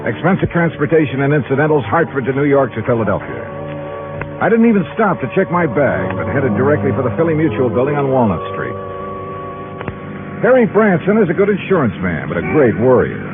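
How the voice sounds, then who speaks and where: An actor playing a wry, teasing American insurance investigator in a 1950s radio detective drama. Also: male voice, medium pitch, sing-song, a little fast, lightly acted. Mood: brisk, wry. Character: wry, breezy male insurance investigator narrating his case. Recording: tinny 1950s radio recording with music.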